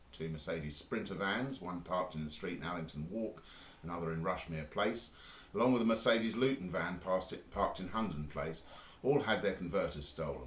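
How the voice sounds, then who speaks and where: A British man with a low voice reads a scripted local news bulletin. The recording sounds roomy and echoing, with faint noise behind him. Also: a bit bright.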